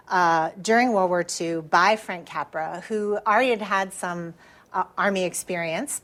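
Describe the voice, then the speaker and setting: An American woman speaks as a professor teaching a class. Her voice sits at a middling pitch. She explains in an academic but conversational style, pausing to check in with listeners. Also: fairly bright tone. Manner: explanatory, with frequent check-in tags like "right?".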